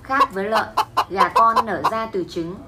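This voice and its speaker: A woman's voice: medium pitch, lively intonation, a little slow, heavy background noise. A woman reading an educational narration for children about animals. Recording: loud animal noises behind the voice. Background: farm animal sounds, clucking chickens.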